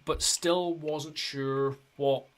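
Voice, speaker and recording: A man's voice: fairly high pitch, fairly even intonation, very slow. A man co-hosting a hockey podcast, telling a story in a rambling, casual way with lots of ums and restarts. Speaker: podcast co-host. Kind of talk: casual chat.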